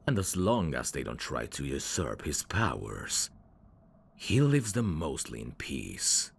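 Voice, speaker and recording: A man voicing a sly demon servant in an ASMR audio drama, courtly and theatrical with irreverent, sardonic asides and a very deep, gravelly voice. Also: huge pitch swings, takes it slowly, heavily acted. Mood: gentle, explaining.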